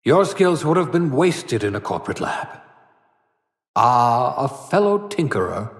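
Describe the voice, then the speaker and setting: An actor playing an eccentric older male scientist in a video game, excitable, wry and teasing, with a theatrical, quaintly wordy delivery. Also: mid-range voice, a little slow. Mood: delighted, scheming. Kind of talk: video game character acting. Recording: echoey room with long reverb.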